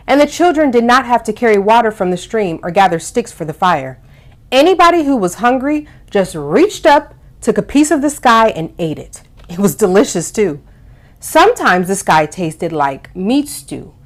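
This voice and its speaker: A woman hosting a children's storytime, explaining and reading aloud expressively, stressing and stretching key words for young listeners. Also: mid-range voice. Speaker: host of a children's storytime show.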